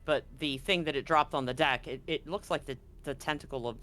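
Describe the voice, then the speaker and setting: Bright-voiced man running a tabletop game. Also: on the high side, brisk. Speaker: game master. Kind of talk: narrating a tabletop roleplaying game.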